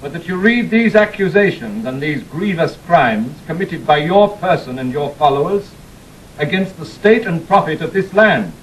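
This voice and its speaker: A stern accusing lord acted by a man speaks formal, declamatory verse. The voice is a little high and slightly off the mic, on a faintly hissy old drama recording. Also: expressive, normal speed, recorded from a distance, natural voice, poor audio. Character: stern nobleman pressing formal accusations on a king. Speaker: actor. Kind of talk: classical Shakespearean audio drama acting.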